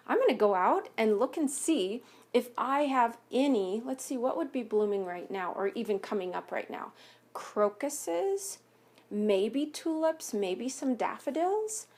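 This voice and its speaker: A woman reading a picture book aloud to young pupils as a teacher, expressively, pausing to ask questions and explain things simply. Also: on the high side. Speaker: elementary school teacher reading aloud to students.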